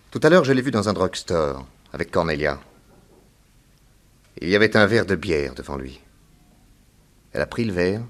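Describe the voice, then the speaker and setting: Commanding detective, deep voice, wry, knowing, ironic, radio crime play. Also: male voice. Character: shrewd, commanding male detective, coolly ironic. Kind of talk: French radio crime drama acting.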